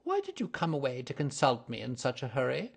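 A man reading the part of a cool, precise detective who explains his deductions in a measured way. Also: medium pitch, huge pitch swings, vocal fry, breathy edge, voice pushed up, exaggerated voice. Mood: questioning. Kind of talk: audiobook character reading.

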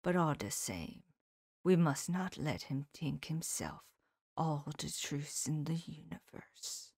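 A woman voicing an elderly male professor in an audiobook, speaking in a slow, solemn, often whispered voice with formal, old-fashioned phrasing. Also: deep voice, expressive, darkish tone, husky, dry recording, clean studio sound, full character voice. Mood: grave. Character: elderly male professor, grave, tender and sorrowful. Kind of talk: audiobook character voice.

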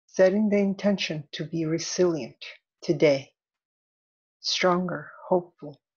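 A woman leading a guided meditation as an instructor, soothing and deliberate, in measured phrases with long pauses. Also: on the low side, darkish tone, slightly rough, dull audio.

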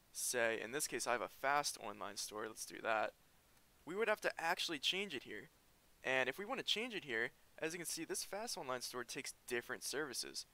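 A man's voice: mid-range voice, sharp tone. A man teaching a programming lesson over a screen recording, explaining each coding step as he types. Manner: explains step by step while typing. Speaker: programming tutor.

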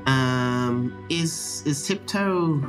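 A man's voice: medium pitch, extremely slow, music in the background. A man playing a tabletop game, describing his character's actions in casual chat.

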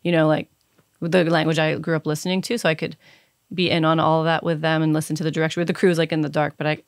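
A woman's voice: low-pitched, little pitch movement, quick pace, dry recording. A woman in her thirties, a native Serbian speaker, talking casually and thoughtfully as an interview guest, with many fillers and restarts into a studio mic. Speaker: cinematographer guest. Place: dark podcast studio. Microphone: studio mic on boom arm, headphones.

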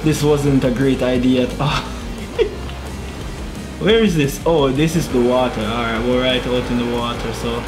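A man's voice: mid-range voice, steady tone, moderate pace, over music, low-quality recording. A young man giving casual gameplay commentary on a headset in a small room at home, chatty, muttering to himself and laughing as he drives. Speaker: gaming YouTuber. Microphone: gaming headset.

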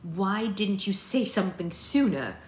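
A woman's voice: mid-range voice, expressive, measured pace, pitched above the performer's own voice, close to an everyday voice. A woman voicing a hot-tempered, wounded grown daughter with a blunt, sweary, emphatic manner. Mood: tense. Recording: roomy, faint background noise.

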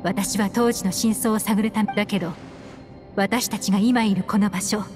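A woman playing a composed, secretive investigator in Japanese anime game dialogue, speaking in measured, poised phrases. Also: mid-range voice, fairly even intonation, over music, natural voice. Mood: grave, confiding.

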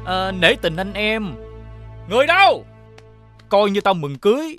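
An actor playing a greedy, boastful and suspicious rich elder brother in an animated fairy tale, with a condescending manner. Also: male voice, high voice, unhurried, no room sound, faint music behind, full character voice. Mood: angry, suspicious. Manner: condescending, expansive.

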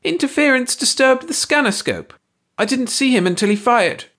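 A man voicing a shrill, excitable male alien scientist who squeaks and shrieks in a storybook reading. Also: moderate pace, heavily acted. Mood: shrill, fretful. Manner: shrieking, agitated, high-pitched.

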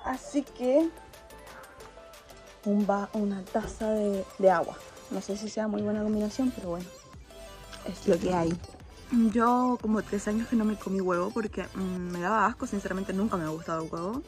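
A woman's voice: mid-range voice, moderate pace, darkish tone, soft background music. A young woman, chatting casually through her daily chores at home while holding her phone at arm's length. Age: 20s. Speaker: vlogger. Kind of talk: casual daily vlog narration. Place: small home indoors. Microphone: phone held at arm's length.

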